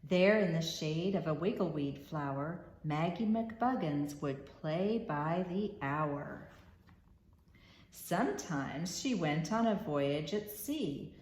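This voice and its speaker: A woman reading a rhyming children's storybook aloud as storyteller, expressive and measured in a rhythmic way. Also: deep voice, echoey room, a little hiss or hum.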